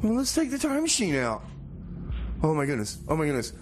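A man hosting a community radio music show, with a folksy, theatrical, halting delivery that repeats phrases. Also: fairly high pitch, huge pitch swings, a little hiss or hum.

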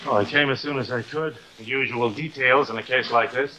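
A man's voice: medium pitch, steady tone, a little fast, sharp tone, natural voice, poor audio. A man playing a worried, businesslike middle-aged associate in an old television drama. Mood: concerned, insistent. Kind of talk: 1950s television drama acting. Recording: hissy old television soundtrack.

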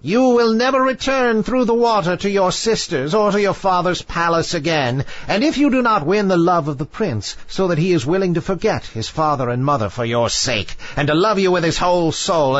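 A man's voice: sits high, no echo, muffled recording, voice pushed up. A man voicing a sinister, scornful old female sea witch in a storybook fairy tale, with theatrical, bargaining delivery.

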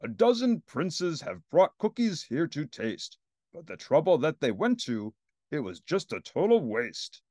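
A man's voice: a little high, huge pitch swings, unhurried, warm tone, no room sound, studio quality, exaggerated voice. A man voicing a friendly old king, an exasperated doting father pleading in a regal manner in a storybook.

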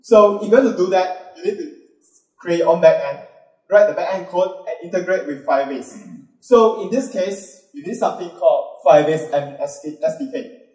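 A Malaysian man in his thirties giving an upbeat conference talk and quizzing the audience with quick questions. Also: high voice, dark tone. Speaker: conference speaker. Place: large dark auditorium with a stage. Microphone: handheld mic. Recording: roomy hall sound from a distant camera.

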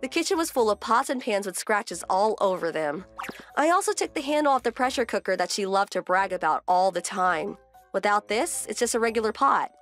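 A woman's voice: mid-range voice, expressive, a little fast, a bit bright, soft background music, lightly acted. A woman voicing a fed-up young wife who narrates her family grievances in a conversational, pointed way for a manga dub. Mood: matter-of-fact, smug.

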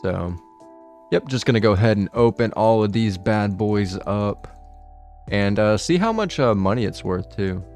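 A young man with a raspy, hoarse voice from being ill, chatting casually over his gameplay and swearing now and then. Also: fairly low pitch, animated, normal speed, a little mellow, faint music behind. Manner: laid-back, swears casually. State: hoarse from illness. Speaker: gaming video creator.

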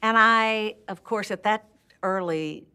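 A woman's voice: medium pitch, slow pace, clean tone. An older woman from Texas with a Texan accent, candidly recalling her acting start in an indoor interview, laughing often. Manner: warm and candid, laughs often, restarts sentences. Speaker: actress interviewee. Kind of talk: reflective interview talk. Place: indoor room with curtains and plants.

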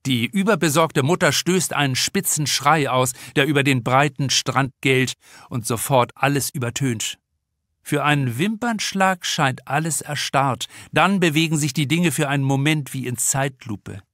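A man narrating a comic crime novel with deliberate, expressive storytelling in a studio. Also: mid-range voice, no echo. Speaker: author reading his audiobook.

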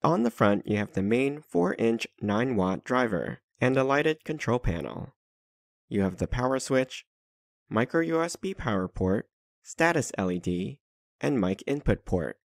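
A man narrates a scripted product review. His mid-pitched voice rises and falls in an animated way. The recording is dry, with no room sound around him. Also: measured pace, no echo.

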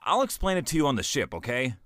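A man voicing a nervous, soft-hearted young impostor spaceman in a comic dub, hesitant and earnest. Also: medium pitch, very animated, fast talker, background noise, natural voice.

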